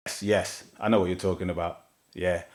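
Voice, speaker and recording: A podcast host with a fairly low-pitched voice and a laid-back, easygoing way of talking. He keeps the interview casual and friendly. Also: flat delivery, brisk, a little mellow.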